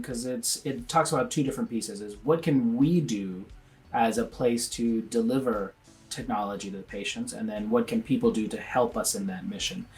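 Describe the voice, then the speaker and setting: Earnest man from Venezuela, mid-pitched. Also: darkish tone. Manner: earnest, thinks aloud with frequent restarts. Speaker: pediatrician and guest. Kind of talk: conversational interview answers.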